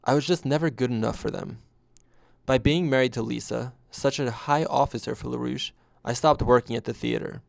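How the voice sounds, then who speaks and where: A man narrating a scripted first-person story in a deadpan way. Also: mid-range voice, normal speed, creaky, dry recording, clean studio sound.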